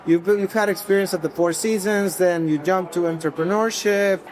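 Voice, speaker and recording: A chatty man hosts a podcast interview with a notably high voice. He rambles a little and circles back on his own points in easy conversation. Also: fairly even intonation, moderate pace, a little hiss or hum. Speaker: podcast host.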